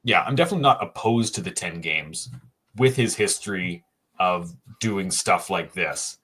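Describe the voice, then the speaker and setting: A man with a fairly low voice talks hockey on a casual podcast. He thinks out loud, pausing on "um" and restarting his sentences, with a dry, self-deprecating streak. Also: slightly rough. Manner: thinks aloud with frequent "um" pauses and self-restarts. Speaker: co-host, hockey writer. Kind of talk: casual sports podcast chat.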